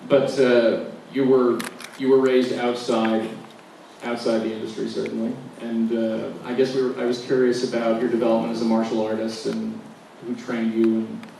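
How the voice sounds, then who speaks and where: A man's voice: medium pitch, completely flat delivery, normal speed, creaky, dark tone. A man moderating a casual live Q&A, asking wordy questions and often interrupting himself. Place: large indoor venue at a film festival. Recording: echoey hall, distant mic, faint noise.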